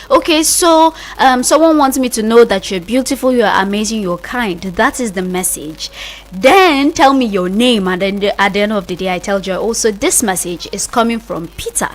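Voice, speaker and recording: A woman's voice: a little high, expressive, moderate pace. A woman with a Nigerian accent presenting live radio in a chatty, informal way, repeating herself for emphasis as she explains things step by step. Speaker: radio host. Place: radio studio.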